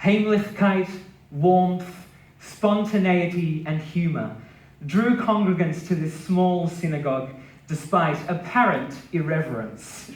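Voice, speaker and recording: Higher-pitched man reading in an echoey room. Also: slow. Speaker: reader at a literary stage event. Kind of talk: dramatic reading of a scripted memoir. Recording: roomy, echoing live venue.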